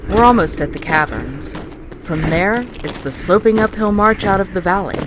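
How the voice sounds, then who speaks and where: A woman's voice: lowish voice, animated, moderate pace, lightly acted. A woman playing a headstrong, teasing alien starship officer in a science fiction audio drama, emphatic and goading, with noise behind her. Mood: goading, confident.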